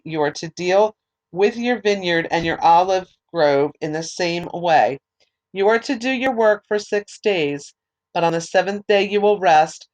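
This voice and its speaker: A woman leading a Bible study, reading scripture aloud at a measured pace and explaining it in hesitant, unscripted remarks. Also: fairly low pitch. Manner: measured reading with hesitant, filler-filled commentary.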